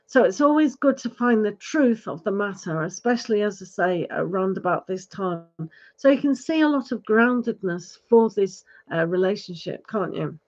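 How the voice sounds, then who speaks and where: A woman giving a live tarot reading, advising gently with many fillers, her voice going hoarse. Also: mid-range voice, lively intonation, normal speed, dull audio. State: losing her voice, clearing throat. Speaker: tarot reader.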